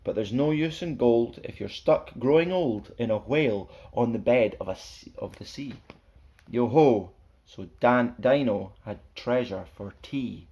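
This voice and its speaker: Male storyteller for a children's picture book: animated rhyming read-aloud, playful, theatrical, mid-pitched. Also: unhurried. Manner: playful, theatrical, sing-song rhythm.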